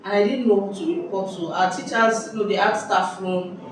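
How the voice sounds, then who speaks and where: A young woman with a Nigerian accent tells a story in an animated, exclamatory way, cutting herself off and restarting. Her voice bounces around a very echoey room. She sits some way from the mic, and a faint hiss runs underneath. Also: medium pitch, brisk, recorded from a distance. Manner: lively, exclamatory, self-interrupting. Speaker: vlogger. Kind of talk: casual storytelling chat. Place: indoors at home.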